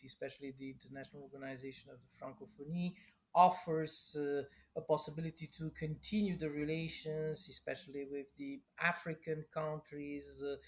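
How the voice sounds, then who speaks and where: A middle-aged man, a European studies professor answering interview questions carefully and haltingly, with frequent hesitations. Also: on the high side. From Romania.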